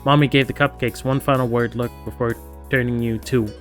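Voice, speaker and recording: The guy on a game stream reading a story game out loud in a middling voice, playfully chiming in over the soundtrack. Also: medium pitch, flat delivery, brisk, soft background music. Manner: playful, acts out characters' lines. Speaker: streamer playing a visual novel. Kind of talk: reading game text aloud with casual asides.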